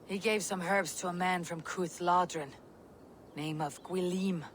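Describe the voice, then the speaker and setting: A woman's voice: lowish voice, fairly even intonation, measured pace, a little hiss or hum, lightly acted. Woman acting resolute Viking warrior woman, hooves behind. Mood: earnest, measured. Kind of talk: video game drama acting. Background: horse hooves and outdoor ambience.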